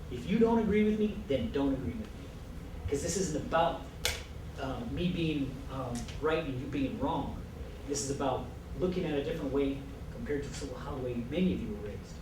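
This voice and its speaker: A man's voice: mid-range voice, expressive, normal speed. Echoey, far-off male teacher lecturing. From El Paso, Texas. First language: Spanish. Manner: earnest, stops and restarts, mixes in Spanish. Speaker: college writing teacher. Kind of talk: classroom lecture. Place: classroom. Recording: echoey classroom from far off, noisy.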